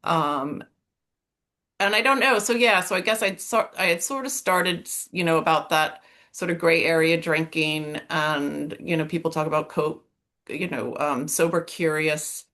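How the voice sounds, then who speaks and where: Woman, middle-aged, American-sounding accent, lowish voice, halting, lots of fillers, video call. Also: a bit bright. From Northern Ireland. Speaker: coaching client. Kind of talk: candid interview.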